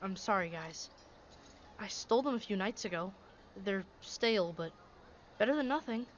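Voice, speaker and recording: A woman voicing an eager, earnest young boy military trainee in an animated series. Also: medium pitch, normal speed, slight background noise, close to an everyday voice. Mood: apologetic. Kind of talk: animated series character acting. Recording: dull sound with music behind.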